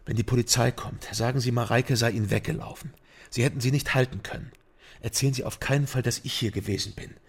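An actor playing a guarded, sober investigator who narrates a radio crime drama in an understated, matter-of-fact way. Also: male voice, mid-range voice, steady tone, fast, slightly rough. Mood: urgent, controlled. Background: music.

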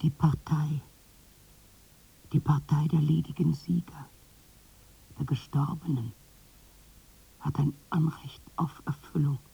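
An actress playing a weary, pleading old woman in a lyrical radio drama, with a breathy, husky half-whisper. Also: sits low, fairly even intonation, slow pace, pauses often, mellow, lo-fi sound, exaggerated voice. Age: older.

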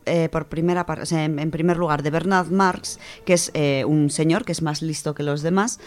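A woman from co-hosting a radio show, chatting casually and reading a story, animated, with many fillers. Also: low-pitched, normal speed. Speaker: radio co-host presenting a literature section.

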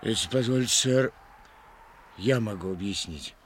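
Актёр играет простого рыбака, учтивого и суховато-ироничного, в радиоспектакле 1960-х. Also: male voice, fairly low pitch, normal speed, natural voice. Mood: wry, polite. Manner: dryly ironic, polite. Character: plain-spoken, wry, respectful fisherman. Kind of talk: 1960s radio drama acting. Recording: 1960s radio, faint background noise.

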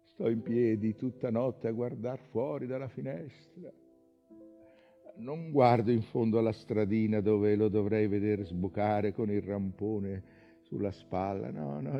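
Slow, gravelly, wistful sailor's son. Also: male voice, fairly low pitch. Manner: hesitant, dreamy, reflective. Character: wistful, haunted sailor's son recalling his childhood. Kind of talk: intimate theatrical monologue. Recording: dull lo-fi sound, faint music.

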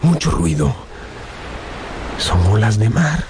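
A man's voice: mid-range voice, sing-song, takes it slowly, background noise. A man narrating a radio drama as a suspenseful storyteller, in short emphatic phrases. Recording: dramatic music behind the voice.

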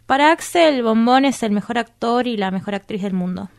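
A woman's voice: on the high side, expressive, normal speed. A woman with an Argentine accent playing a coy, earnest young woman in love in a radio drama. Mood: earnest, storytelling.

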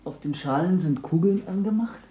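A man's voice: sits high, normal speed, warm tone, lightly acted. A male actor playing an earnest, curious boy pupil in a 1960s German radio drama, speaking in a plain, questioning way. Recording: faintly noisy old radio recording.

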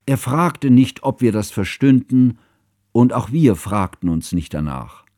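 An actor playing a measured, wry narrator who speaks in precise, bookish literary prose in a radio play. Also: male voice, medium pitch, very animated, dark tone, no room sound, clean studio sound. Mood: reflective. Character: male narrator recalling his eccentric teacher, measured and wry.

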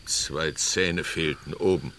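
An actor playing a stern, distrustful older Osage chief in a western radio drama, speaking gravely and curtly. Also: male voice, very deep voice, fairly even intonation, music in the background, heavily acted. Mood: curt, impatient. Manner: slow, curt, grave delivery.

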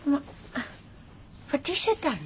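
An actress playing a down-to-earth, exasperated wife in a comic radio drama, speaking bluntly and colloquially. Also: female voice, slow pace, a little hiss or hum, lightly acted. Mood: puzzled.

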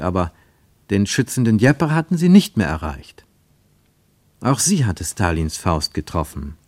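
A man's voice: sits low, a little mellow, no echo. A man reading a literary story as a scripted narration.

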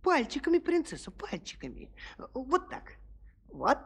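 A woman's voice: high voice, wildly swooping pitch, a little slow, creaky, heavily acted. A woman playing a cheeky young shepherd lad in a children's audio play, sly and teasingly polite, with a sing-song delivery. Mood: sly, coaxing. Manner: sing-song, teasingly courteous, repeats words.

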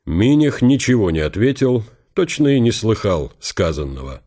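A man's voice: lowish voice, very animated, moderate pace, warm tone. A man reading literary narration for a historical radio drama in a scripted style.

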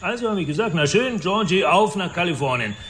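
Swaggering older developer, domineering and coarse, high sharp voice, radio play. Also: male voice, sing-song, fairly quick. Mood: boastful reminiscing. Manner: gruff, swaggering, coarse storytelling. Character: boastful, domineering older self-made male Scottish-born property developer. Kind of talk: German radio drama acting.

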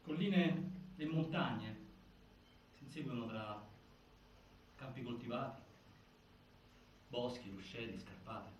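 A man giving dramatic scripted narration, deliberate and full of pauses, recorded from a distance in a very reverberant space. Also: mid-range voice, lo-fi sound. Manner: deliberate, with pauses and repeated phrases. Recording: very echoey stage, distant mic, faint noise.

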